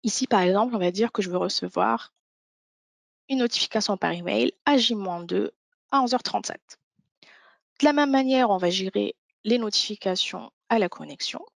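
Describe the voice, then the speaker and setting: A woman's voice: mid-range voice, normal speed, gravelly, no room sound. A woman presenting an instructional webinar walkthrough, explaining each thing step by step. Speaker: software demo presenter.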